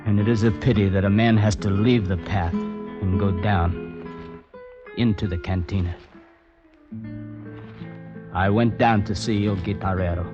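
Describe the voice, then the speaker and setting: A man playing a desperate, brooding poet in an old radio drama. His voice sits low, and he speaks with literary intensity, with music behind him. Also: fairly even intonation, measured pace, long pauses, lo-fi sound, close to an everyday voice. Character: desperate man nicknamed Poet, anguished, pleading for a kidnapped woman. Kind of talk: 1950s radio drama acting, brooding first-person narration.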